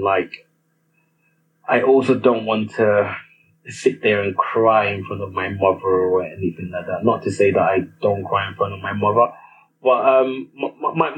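A young man with a Nigerian accent, telling a personal story in a hesitant monologue with many restarts. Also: lowish voice. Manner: hesitant, lots of restarts and fillers. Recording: slightly muffled with faint ambient noise.

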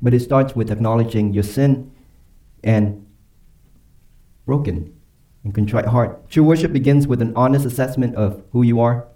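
A man preaching a sermon and leading a closing prayer, earnest and emphatic, slowing down and dropping softly on key phrases. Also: mid-range voice, poor audio. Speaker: pastor.

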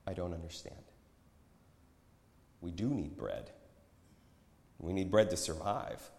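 A man voicing a gentle, earnest young woman, echoing hall. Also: low voice, slow, creaky, full character voice. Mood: confused, gentle. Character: confused, eager, sincere young daughter questioning a prophet. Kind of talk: dramatized scripture storytelling. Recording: echoey hall with long reverb.

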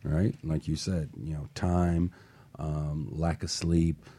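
Deep husky-voiced male talk show host. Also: little pitch movement, slow pace, warm tone. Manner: earnest, rising to passionate emphasis. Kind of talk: motivational talk show chat.

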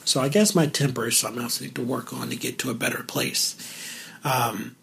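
A man with a naturally raspy voice, hosting his own talk show alone, talking candidly and cracking asides. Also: mid-range voice, normal speed. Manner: candid and joking, with asides and filler words. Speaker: podcast host. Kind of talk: solo casual talk show.

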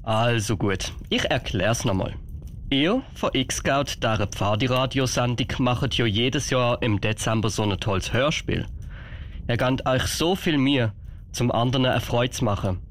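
An impatient, kindly Father Christmas acted by a man in a radio play, ready to tell a story. His voice is medium in pitch with a Swiss German accent, and a faint rumble sits behind it. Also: lively intonation, a little slow, natural voice.

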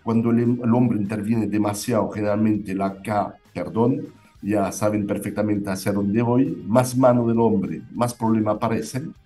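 Low, mellow man with a foreign accent. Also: steady tone, normal speed, faint music behind. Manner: hesitant, many fillers and restarts. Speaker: winemaker guest. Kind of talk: interview answers.

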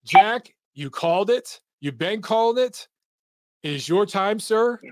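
A man with a fairly high voice hosts a relaxed boxing talk stream. He talks loosely and easily, reading out viewer comments. The sound is dry and close, with no room echo. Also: a little slow. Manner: casual, reads viewer super chats aloud. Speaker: boxing show host.

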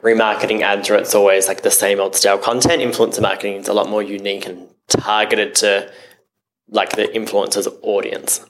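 A man with a fairly low voice chats as a podcast guest. He sounds easygoing and loose, filling his speech with "like". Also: flat delivery, fairly quick, rough recording. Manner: easygoing, says "like" a lot. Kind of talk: casual podcast chat.

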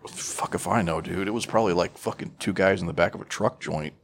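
A man's voice: deep voice, brisk, creaky. A man co-hosting a podcast, bantering casually about movies in slangy, foul-mouthed talk. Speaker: podcast co-host.

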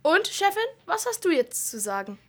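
A man's voice: very high voice, very animated, normal speed, exaggerated voice. A young boy playing a confident, matter-of-fact police officer in an amateur radio play. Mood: matter-of-fact, smug. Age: child or young teen.

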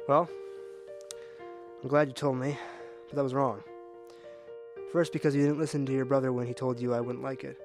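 A man playing a calm, gently teasing father in an amateur family audio drama. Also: medium pitch, moderate pace, long pauses, music in the background, lightly acted. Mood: firm.

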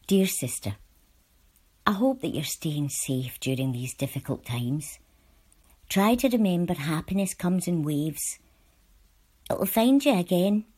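Mellow, husky woman reading a letter. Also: fairly low pitch, lively intonation, a little slow, pauses often. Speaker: volunteer reader. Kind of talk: reading letters aloud, scripted.

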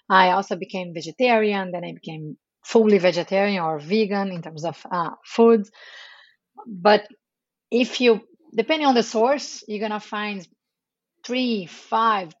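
A Brazilian woman in her forties speaking Portuguese as her first language, giving a measured podcast interview with frequent pauses. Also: mid-range voice, dry recording, highs cut off. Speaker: chief marketing officer, guest.

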